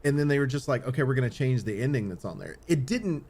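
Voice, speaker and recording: A man's voice: mid-range voice, expressive, quick pace, a little mellow. Casual, rambling man podcaster. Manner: rambling, repetitive, swears casually. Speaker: podcast host. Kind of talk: casual opinionated chat.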